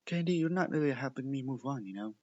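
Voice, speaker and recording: A wounded young male cartoon pegasus, played by a man, speaking in a subdued and resigned way. The storybook-style recording is dry and lo-fi, with a middling pitch. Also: animated, quick pace, darkish tone, no echo, close to an everyday voice. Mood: confused. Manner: low-key, subdued, resigned. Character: young male pegasus pony, wounded, sulky best friend. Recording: dull lo-fi close recording.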